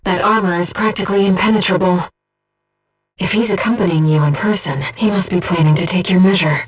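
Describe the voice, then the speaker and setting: A woman's voice: on the low side, steady tone, slightly rough, soft background music. Distant, composed female companion spirit. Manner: measured, precise, earnest delivery. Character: calm, earnest, gentle female disembodied voice guiding a pilot. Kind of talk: scripted video game voice acting, mission support.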